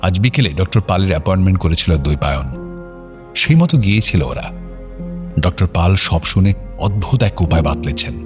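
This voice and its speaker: A man with a deep, low voice narrates an audio drama. His scripted storytelling is measured and suspenseful, with music clearly playing behind him. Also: lively intonation. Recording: prominent music bed under narration.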